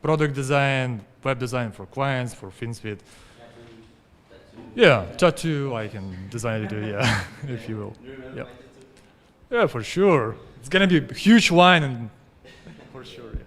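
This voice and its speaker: A man introduces himself casually, with a light joking touch. His voice is mid-pitched for a man. A long reverb hangs behind him in a large room. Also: lively intonation, unhurried, long pauses, a bit bright. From Ukraine. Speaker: designer. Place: indoor co-working space.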